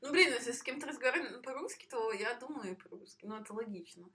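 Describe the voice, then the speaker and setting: A woman's voice: fairly high pitch, lively intonation, quick pace, sharp tone. A young woman from Ukraine co-hosting a podcast, chatting casually and animatedly, laughing often. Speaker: podcast co-host. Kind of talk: casual chat.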